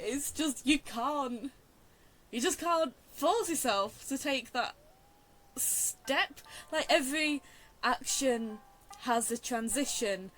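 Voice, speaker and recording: A woman's voice: a little high, rough recording. A young woman giving casual game commentary, earnest and hesitant as she thinks aloud. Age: young adult. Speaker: game streamer. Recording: faint game music behind. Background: game music.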